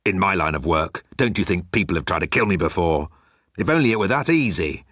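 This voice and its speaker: Bored, disdainful immortal green alien, male narrator acting, low-pitched, close and dry. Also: expressive, brisk, fairly bright tone, no echo. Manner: disdainful, world-weary.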